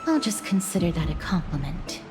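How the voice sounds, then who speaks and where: A woman playing a guarded young maiden in a fantasy game, speaking in a formal, measured and politely firm way. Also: fairly low pitch, big pitch swings. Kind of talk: scripted fantasy game voice acting. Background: music.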